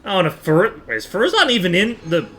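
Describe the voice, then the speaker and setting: Lively, bright-voiced man gaming on stream. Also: high voice, fairly quick. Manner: rambling, thinking aloud, swears casually. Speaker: game streamer. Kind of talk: casual live stream chat while gaming.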